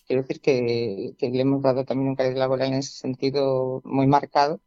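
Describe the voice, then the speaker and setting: Radio guest from a union, calm explainer, warm timbre, lo-fi dry line. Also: mid-range voice, dry recording. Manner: measured, affirming, explanatory. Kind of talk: conversational radio interview.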